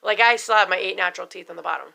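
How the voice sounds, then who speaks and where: A middle-aged woman with a bright, clear voice talks straight to the camera in a loose, unscripted vlog. She is frank and chatty, tosses in casual swearing and leans on "you know" and "like" as she goes. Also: medium pitch, very fast. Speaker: vlogger sharing denture experiences.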